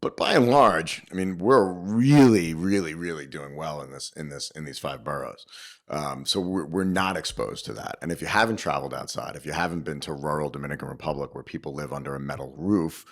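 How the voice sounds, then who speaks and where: A man's voice: very deep voice, fairly quick. A man speaking emphatically and casually in an interview, giving opinionated advice with rhetorical check-ins. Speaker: interviewed business owner and show host.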